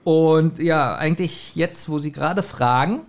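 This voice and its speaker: A man with a slightly high voice talks casually as a podcast co-host. He recaps a story in loose conversational speech, bantering and pressing his point insistently. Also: a little slow. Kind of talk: casual chat.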